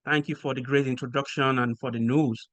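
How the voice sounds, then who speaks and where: A man from Nigeria with a Nigerian accent, explaining things in a measured way with frequent pauses as a podcast guest. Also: medium pitch, steady tone, a bit bright, no room sound. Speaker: guest researcher. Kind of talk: podcast interview.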